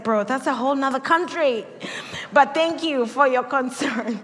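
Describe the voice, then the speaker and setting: A woman pastor from Kenya with a Kenyan accent, preaching a sermon with animated storytelling, acted quotes and laughter. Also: on the high side. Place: church sanctuary. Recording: long church hall reverb.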